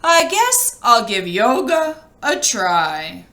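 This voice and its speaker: A woman's voice: a little high, big pitch swings, slow pace, bright, forceful tone, exaggerated voice. A woman voicing a hungry, sly male wolf from a storybook, with a wheedling, complaining way of talking. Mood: resigned.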